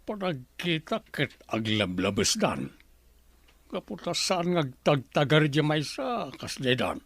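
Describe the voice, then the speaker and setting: A man performs an old grandfather in an audio drama, grumbling and reproachful. His voice is gravelly and fairly high for a man, with lively swings of pitch in a dry, close sound. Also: slow pace, dry recording, heavily acted. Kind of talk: Ilocano radio drama acting.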